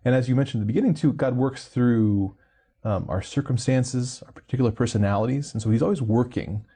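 A man's voice: mid-range voice, a little fast, warm tone, slightly rough. A man hosting an interview, reflecting aloud with many fillers and tag questions. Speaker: interview host. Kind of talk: conversational interview.

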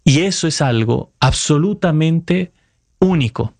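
A man's voice: a little high, lively intonation, measured pace, gravelly, darkish tone. A Costa Rican man in his thirties, a correspondent giving thoughtful, earnest answers in an interview. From Costa Rica. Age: 30s. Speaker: Vatican correspondent, guest.

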